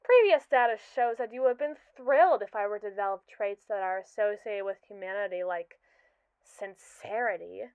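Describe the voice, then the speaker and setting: A young woman voicing a haughty, scheming captive robot AI in a scripted roleplay, speaking in an arch, mocking and wordy way with slow, drawn-out emphasis. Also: medium pitch, a little mellow, smooth, no room sound, natural voice. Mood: sardonic. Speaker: ASMR roleplay performer. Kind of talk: scripted ASMR roleplay monologue.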